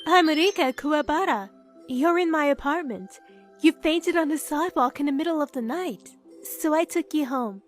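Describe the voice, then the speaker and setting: A woman voicing a sweet, kind young woman who flusters easily, with a gentle, cutesy delivery in a manga dub. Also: high-pitched, expressive, moderate pace, faint music behind. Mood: gentle, reassuring. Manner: gentle, cutesy, hesitant when embarrassed. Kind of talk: anime-style manga dub acting.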